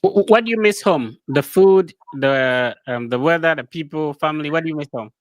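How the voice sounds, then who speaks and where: A man hosting a casual interview over a video call, asking questions in quick runs and stumbling over words. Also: fairly high pitch, no echo, rough recording. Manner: chatty, stammers, asks questions in runs. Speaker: host, interviewer.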